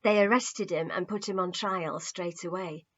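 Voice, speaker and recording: A woman's voice: on the low side, steady tone, normal speed, a bit bright. A woman voicing an earnest, shamefaced young man giving bad news plainly and with regret, on a muffled recording. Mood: regretful.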